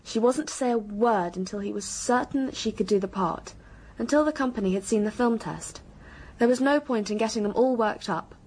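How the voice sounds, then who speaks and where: Anxious English schoolgirl, high husky voice. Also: a little fast, a little mellow, poor audio. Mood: earnest. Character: anxious, earnest English schoolgirl confiding a secret. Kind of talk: radio drama acting.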